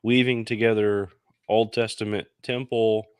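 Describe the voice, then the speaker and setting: Man, congested with a head cold, lowish pitch, dry close sound, casual co-host chat. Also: little pitch movement, measured pace, smooth, dry recording. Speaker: podcast co-host.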